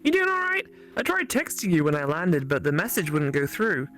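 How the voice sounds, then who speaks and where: A teasing female best friend in a visual novel, put on by a woman. Her pitch jumps around freely as she needles and doubts. She is sarcastic and animated, over faint music. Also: a little fast, exaggerated voice. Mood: friendly, curious.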